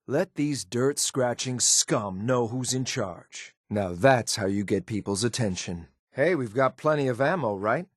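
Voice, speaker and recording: An actor playing a grim, cold synthetic soldier in a video game, speaking in a clipped, deadpan, disdainful way. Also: male voice, medium pitch, measured pace, few pauses, dry recording, studio quality. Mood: commanding. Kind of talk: scripted video game companion lines.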